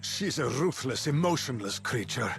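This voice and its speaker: A man's voice: medium pitch, animated, moderate pace, vocal fry, fairly bright tone, faint music behind. An actor playing a proud, irritable male engineer of ancient Greece in a video game, dramatic and sardonic. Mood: grave, proud. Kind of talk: video game cutscene acting.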